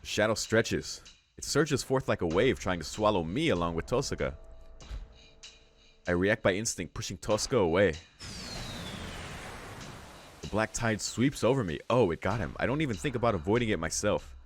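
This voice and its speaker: A man's voice: fairly low pitch, expressive, moderate pace, pauses often, slight background noise. A man hosting a let's read, narrating visual novel text aloud and adding casual reactions of his own. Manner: casual, swearing asides between read lines. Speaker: let's play host, reader. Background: game music.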